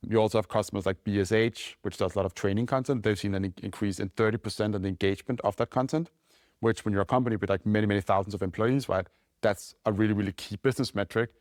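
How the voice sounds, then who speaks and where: Fast-talking Danish-accented man, low voice. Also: steady tone, a little mellow, dry recording, studio quality. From Denmark. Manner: quick, loose talk full of "like" and "right?". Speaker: company founder guest. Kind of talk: casual podcast interview.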